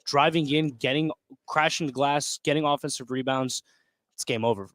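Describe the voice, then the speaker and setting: A man's voice: mid-range voice, fairly even intonation, moderate pace, a bit bright, no room sound. A man giving informal, opinionated color commentary on a basketball game, repeating himself for emphasis. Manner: casual, opinionated, emphatic repetition. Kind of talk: informal sports analysis. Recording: faint gym noise behind. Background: basketball game ambience.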